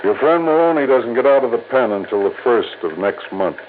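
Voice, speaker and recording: An actor playing a gruff, suspicious police lieutenant in a 1950s radio drama, with a blustery, insistent manner. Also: male voice, medium pitch, lively intonation, brisk, close to an everyday voice. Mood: dry, relieved. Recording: tinny, noisy old radio recording.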